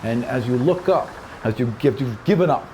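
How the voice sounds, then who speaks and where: A man's voice: medium pitch, expressive, normal speed, darkish tone, slight background noise, poor audio. A man working as dungeon master, narrating a tabletop game with dramatic, explanatory storytelling.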